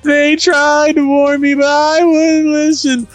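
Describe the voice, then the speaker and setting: Man putting on a shrill, tearful young girl. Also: expressive, slow, a bit bright, no room sound, soft background music, full character voice. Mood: sobbing, distraught. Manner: squealing falsetto, wailing.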